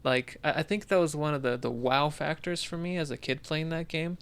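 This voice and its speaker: A man's voice: on the high side, a little fast, a bit bright, clear, resonant tone. A man co-hosting a casual discussion, thinking aloud a bit hesitantly as he talks. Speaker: podcast co-host. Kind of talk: casual discussion of video games.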